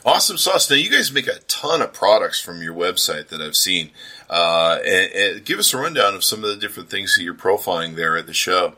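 A man's voice: low-pitched, sing-song, fairly quick, pushed and bright. A man hosting a casual promotional interview as a podcaster, talking with slangy, gushing enthusiasm.

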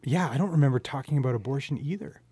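A man's voice: medium pitch, rapid-fire, dark tone, slightly rough, no echo. A man hosting a casual podcast chat, informal and candid, asking questions and swearing freely. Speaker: podcast host.

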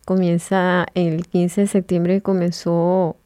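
A woman hosting a radio interview, with a mellow, rounded tone. She speaks at a relaxed, unhurried pace and leans on repeated words for emphasis. The recording is close and dry, with no room sound. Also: fairly low pitch, clear, resonant tone, dry recording. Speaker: radio show host.

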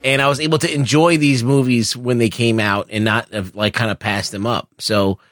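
A man's voice: mid-range voice, fairly even intonation, normal speed, a bit bright, no echo, clean studio sound. A man in his forties chatting casually about films as a podcast co-host. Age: 40s. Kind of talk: casual film chat.

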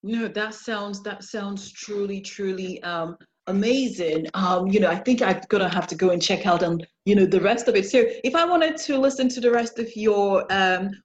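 A woman hosting a podcast interview, chatting casually and pausing on filler words. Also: mid-range voice. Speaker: podcast host.

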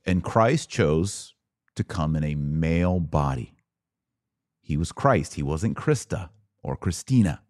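A man hosting a podcast, giving a religious explanatory monologue in a didactic, emphatic way, pausing and repeating words for stress. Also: rumbling low, lively intonation, a little mellow, no room sound, studio quality. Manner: didactic, emphatic, with deliberate pauses and repetition for stress. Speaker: podcast host.

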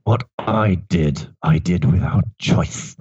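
A man putting on a weary grim old soldier, gruff and clipped, deep dark voice, dry dull sound. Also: slow, no room sound, heavily acted.

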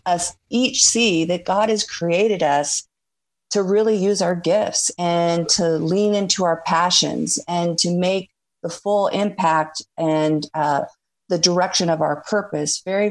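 A middle-aged woman guesting on a faith-based radio interview, talking earnestly and reflectively with frequent fillers. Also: on the low side. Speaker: guest, former executive.

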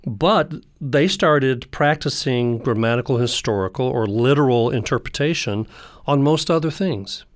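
A male scholar talks as an interview guest in a conversational academic style. He has a medium-pitched voice and moves through his explanation in measured, halting phrases, pausing as he goes. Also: dry recording.

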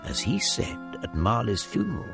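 An actor as the narrator of a radio drama, a man telling the story in a deliberate, wry way. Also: mid-range voice, wildly swooping pitch, creaky, over music. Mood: wry, dramatic. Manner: deliberate, theatrical.

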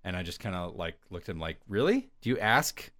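A man's voice: deep voice, sing-song, fairly quick, a crowd in the background. A man hosting a podcast, asking casual questions and laughing along with his guest. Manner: friendly interviewer, laughs along. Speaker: podcast host. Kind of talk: casual chat.

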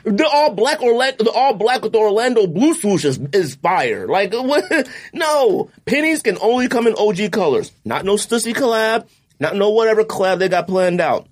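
A man hosting a casual podcast, animated, opinionated and full of slang. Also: high voice, moderate pace. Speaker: podcast host. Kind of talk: casual chat.

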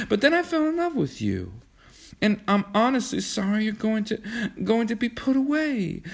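A man's voice: high-pitched, gravelly, pitched above the performer's own voice, full character voice. A man voicing a passionate, petulant young rich girl in a storybook reading, impulsive and protesting. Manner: protesting, impulsive, stammering when flustered.

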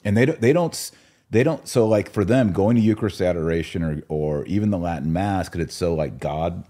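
A man speaks in a low register with a soft, mellow tone. He talks casually and colloquially, as a podcast host would in an easy back-and-forth conversation. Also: lively intonation, a little fast.

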